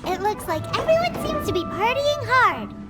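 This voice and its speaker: Casting: tiny game fairy sidekick, extremely high squeaky childlike voice, wildly animated, music behind. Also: female voice, moderate pace. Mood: eager, cheerful. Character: Paimon, tiny childlike fairy companion, bubbly and eager. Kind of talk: video game voice acting.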